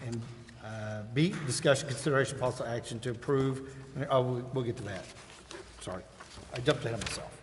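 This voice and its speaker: A man's voice: medium pitch, a little fast. An American man speaking informally as the mayor chairing a public council meeting. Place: large indoor council chamber. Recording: echoey council chamber with clear noise.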